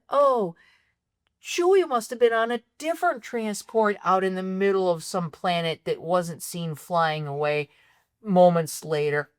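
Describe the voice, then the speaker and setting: A woman co-hosting a film podcast, ranting in exasperation about a movie's plot holes, her voice going a bit raspy. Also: fairly high pitch, dry recording, studio quality. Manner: exasperated, ranting, animated complaints. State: voice getting raspy. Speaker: podcast co-host. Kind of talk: casual film critique chat.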